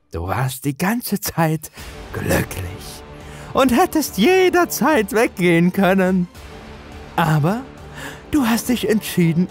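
Sinister, drawling, high-pitched mocking villain. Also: male voice, heavily acted. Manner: slow, drawn-out, taunting delivery with cackles. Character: sinister mocking older man, gloating manipulative dealmaker with supernatural power. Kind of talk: horror story reading.

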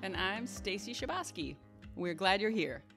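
Middle-aged woman, animated intonation, casual podcast co-host, close mic, faint music. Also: medium pitch, normal speed. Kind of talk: casual questioning. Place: home kitchen, video call.

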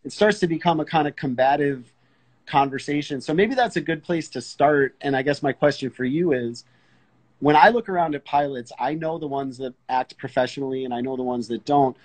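A man's voice: on the high side, fairly quick, rough recording. A middle-aged man hosting a casual interview over a video call, thinking aloud as he frames his questions. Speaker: host, pilot interviewer. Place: indoors in an armchair, video call.

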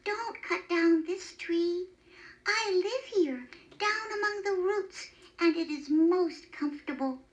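Woman voicing a small, high-voiced polite elf. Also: takes it slowly. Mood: pleading, polite. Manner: polite, pleading, gently solemn. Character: small polite male elf living under a tree. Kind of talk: children's storybook character reading.

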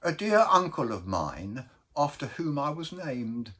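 A man voicing a meek, sorrowful baker in a storybook verse reading, telling his woe in a halting, mournful, mild way. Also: a little high, very animated, fairly bright tone, pitched above the performer's own voice, exaggerated voice. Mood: tearful, halting.

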